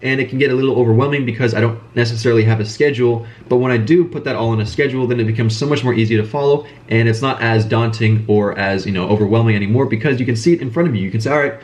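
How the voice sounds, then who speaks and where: A man's voice: medium pitch, a little mellow. A young man with a cold, sounding nasal, giving casual advice straight to the camera in an earnest, repetitive way. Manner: brisk, earnest, repeats phrases for emphasis. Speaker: channel host giving business advice.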